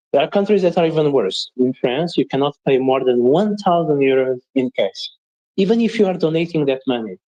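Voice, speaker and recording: A man's voice: medium pitch, dark tone, muffled recording. A man from Portugal giving his opinions as a panel guest in an emphatic, assertive way.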